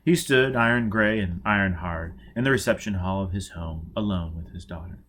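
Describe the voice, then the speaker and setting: A man's voice: fairly low pitch, a bit bright, slight background noise. A man reading a novel aloud as scripted audiobook narration. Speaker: audiobook reader.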